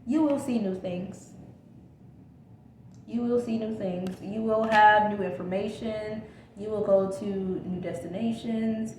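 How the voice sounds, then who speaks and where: A woman's voice: mid-range voice, fairly even intonation, slow, a little mellow, reverberant. A woman giving a casual tarot reading to her viewers, repeating reassurances and checking in with "okay?", her voice a little scratchy from a coming cold. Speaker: tarot reader.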